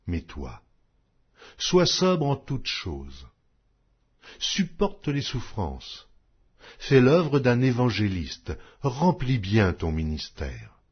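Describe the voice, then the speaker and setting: A man reading scripture from a script as an audio Bible narrator, in a solemn, declamatory manner with measured pauses. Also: lowish voice, slightly rough, highs cut off.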